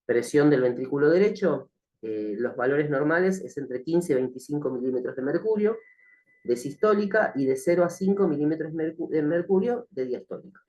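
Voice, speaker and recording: A man teaching a recorded nursing class, slightly hoarse from the flu, speaking hesitantly with many fillers and frequent check-ins with the listener. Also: a little high, lively intonation, moderate pace, a little mellow. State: has a cough from the flu. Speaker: nursing instructor. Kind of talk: recorded online lecture.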